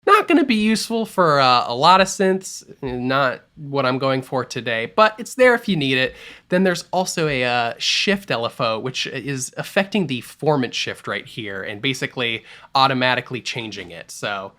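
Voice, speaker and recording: A man teaching a music software tutorial in a relaxed, explanatory voice-over, offering mild opinions as he goes. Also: mid-range voice, moderate pace. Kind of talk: screen-recorded software tutorial. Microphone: voice-over over screen capture.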